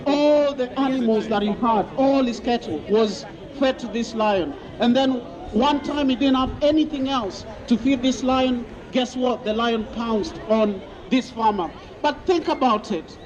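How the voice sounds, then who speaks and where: Street preacher, man from Kenya, very high voice, dull lo-fi sound, open-air square. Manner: repeats questions to passers-by, quotes scripture. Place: outdoors in a busy city square. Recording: lo-fi outdoor recording with crowd noise.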